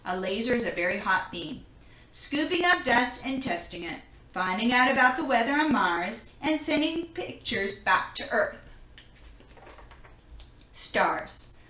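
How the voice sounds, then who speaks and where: A woman reads a children's picture book aloud in a bright, clearly forward voice that sits on the high side. She explains as she goes, pointing things out to young listeners. The room around her is noticeably echoey. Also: big pitch swings, measured pace.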